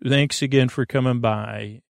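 A man hosting a bedtime podcast with a creaky voice, meandering gently and hesitantly through soothing, tangent-filled reassurance. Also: mid-range voice, fairly even intonation, measured pace, no echo, clean studio sound. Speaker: host of a bedtime sleep podcast. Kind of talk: rambling, soothing bedtime monologue.

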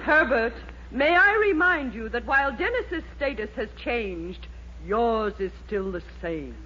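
A woman's voice: on the high side, animated, unhurried. An actress playing a fawning, domineering middle-aged American mother in 1940s radio comedy, syrupy and theatrical, on an old recording. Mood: stern. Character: gushing, fawning mother, bossy to husband. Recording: muffled 1940s radio recording, faint noise.

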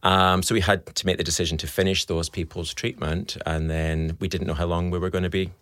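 A man with a Scottish accent, a fertility specialist answering interview questions in a measured, explanatory way with frequent ums. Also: sits low, level tone, fairly bright tone, no room sound, clean studio sound.

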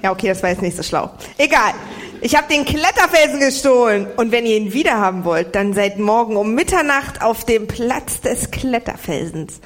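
A woman's voice: fairly high pitch, animated, moderate pace, lightly acted. A woman playing a theatrical flying villainess in a comic audio drama, grandiose but easily flustered into casual asides. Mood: menacing, flustered.

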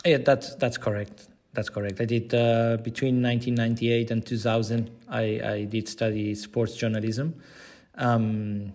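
A man's voice: mid-range voice, flat delivery, unhurried, a little mellow. Middle-aged Argentinian man in conversation. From Buenos Aires, Argentina. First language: Spanish. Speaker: podcast guest, digital content manager. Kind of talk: conversational interview answers.

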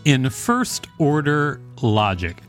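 A man's voice: medium pitch, wildly swooping pitch, slow, soft background music. A man co-hosting a podcast, reading aloud and chatting in a wry, conversational way. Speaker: podcast co-host. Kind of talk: reading an encyclopedia article aloud with casual banter.

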